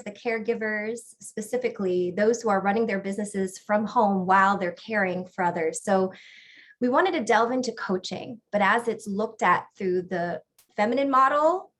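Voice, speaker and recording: A middle-aged woman co-hosting an online presentation, explaining ideas in a measured, phrase-by-phrase way. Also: mid-range voice, fairly bright tone. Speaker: co-host and business coach.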